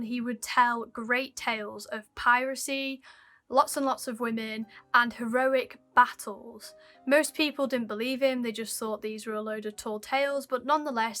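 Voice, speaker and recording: Croaky woman, slightly high voice. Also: sharp tone. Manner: chatty, apologetic, dwells on odd details. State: croaky, losing her voice. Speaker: YouTube host. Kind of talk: casual mystery storytelling to camera.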